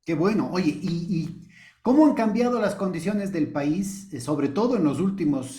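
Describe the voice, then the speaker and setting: Higher-voiced male interview host. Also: expressive, normal speed, warm tone. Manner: welcoming, asks long winding questions. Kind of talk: interview hosting.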